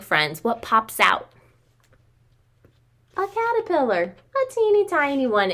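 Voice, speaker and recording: An American woman in her thirties, a preschool teacher reading and explaining to young children in an expressive way with questions and drawn-out words. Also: fairly high pitch. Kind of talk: children's story read-aloud and craft instruction. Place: small home room with sloped ceiling.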